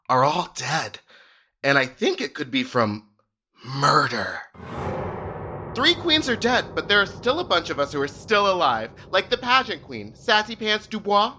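A woman's voice: medium pitch, bright, forceful tone. A woman playing a campy drag contestant who over-explains things melodramatically in a comedy radio play. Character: chirpy drag contestant introducing her rival queens.

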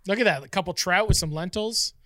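A man's voice: sits high, fast talker, sharp tone, no room sound, clean studio sound. A man in his thirties co-hosting a podcast, bantering casually and bluntly, often repeating himself insistently. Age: 30s. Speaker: podcast co-host.

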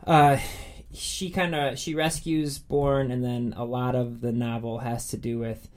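A man's voice: mid-range voice, flat delivery, unhurried, a little mellow. A man co-hosting a podcast in a casual, enthusiastic chat. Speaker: podcast co-host.

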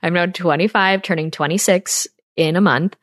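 Low-pitched woman in her 20s from upstate new york, talks right at her listeners, dry sound. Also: moderate pace, fairly bright tone, no room sound. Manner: earnest, persuasive, addresses listeners directly. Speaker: podcast host. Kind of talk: casual monologue.

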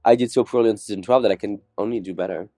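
A man's voice: lowish voice, very fast, mellow, dry recording, clean studio sound. A man, a podcast guest chatting candidly and jokingly, acting out quotes. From France. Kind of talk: casual interview chat.

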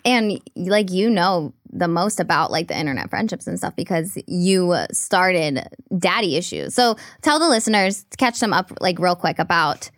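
A woman's voice: fairly low pitch, animated, moderate pace, few pauses, a bit bright, dry recording, clean studio sound. A woman hosting a podcast interview, chatting casually and reacting to her guest with surprise. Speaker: podcast host.

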